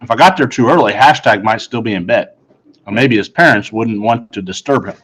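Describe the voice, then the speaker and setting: A man reading first-person story narration aloud in an earnest, deliberate storytelling manner. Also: on the low side, a bit bright, slightly rough, rough recording. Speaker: audiobook reader.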